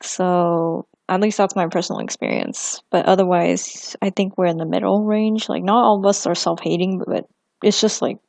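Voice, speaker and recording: A young woman hosting a casual podcast chat, relaxed and rambling with many fillers. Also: fairly low pitch, moderate pace, creaky, dry recording. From Vietnamese American family. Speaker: podcast host.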